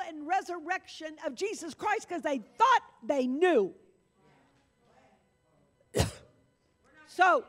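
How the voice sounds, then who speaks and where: An older woman minister preaching earnestly in a church meeting room, stretching key words for emphasis. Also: very high voice. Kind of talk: sermon.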